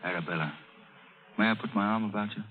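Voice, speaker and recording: An actor playing a dashing, teasing young pirate and romantic hero in a 1930s radio drama. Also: male voice, lowish voice, quick pace, slight background noise, natural voice. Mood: tender, amused. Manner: playful, theatrical, teasing. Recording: tinny 1930s radio broadcast.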